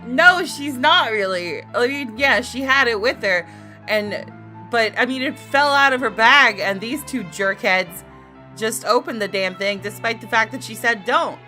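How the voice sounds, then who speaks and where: A woman hosting a gaming video, commenting on a visual novel and reading its narration aloud in an expressive, dramatic way. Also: a little high, measured pace. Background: game music.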